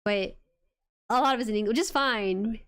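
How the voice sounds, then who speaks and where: Soft, clear, higher-pitched woman streamer. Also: moderate pace. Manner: excitable, exclaiming reactions. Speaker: streamer hosting an anime watchalong. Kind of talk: casual reaction chat.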